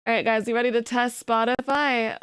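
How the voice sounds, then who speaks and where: Sleepy girl streamer chatting w chat, kinda high voice, dry close sound. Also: steady tone, a little fast, clean tone, dry recording. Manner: chatty, thanks viewers warmly and giggles. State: sleepy, just woke up. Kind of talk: casual live-stream chat.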